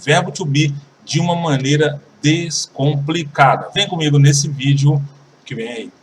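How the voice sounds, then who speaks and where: A man's voice: on the high side, a little hiss or hum. Brazilian man teaching English, distant mic. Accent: Brazilian Portuguese. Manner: slow, careful repetition of example sentences. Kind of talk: online English lesson.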